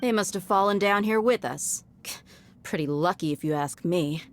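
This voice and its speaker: A woman's voice: fairly low pitch, normal speed, noisy background, lightly acted. A woman as a wry, tough mercenary. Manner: wry, cool, casual. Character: tough, sardonic mercenary woman, young and unflappable. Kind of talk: video game acting.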